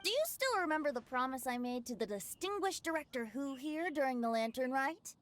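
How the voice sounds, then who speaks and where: Carefree boy bard, high and lively, lilting, charming, anime game. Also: a little fast. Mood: playful, lilting. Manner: lilting, poetic, playful. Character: cheerful, carefree boyish young male bard, playful and charming. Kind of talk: anime video game voice acting. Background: game music.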